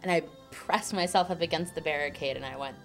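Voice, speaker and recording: Low-voiced young woman chatting over music. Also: fast talker. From Chile. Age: 20s. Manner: chatty, lots of "like", acts out quotes. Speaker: documentary subject. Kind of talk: casual personal storytelling. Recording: music playing underneath.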